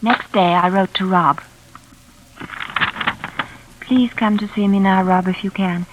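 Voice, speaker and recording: An actress playing an anxious, polite young live-in companion who acts and narrates in a vintage radio thriller. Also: female voice, medium pitch, fairly even intonation, takes it slowly, dull audio, noisy background, close to an everyday voice. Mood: pleading. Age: young adult. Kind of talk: old-time radio drama acting and narration. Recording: vintage radio drama recording.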